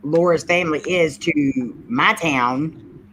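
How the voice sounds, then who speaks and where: A woman's voice: sits low, slow, a bit bright. A woman from Texas co-hosting a live stream in casual group chat. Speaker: co-host of a paranormal live stream. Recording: faintly noisy live stream audio.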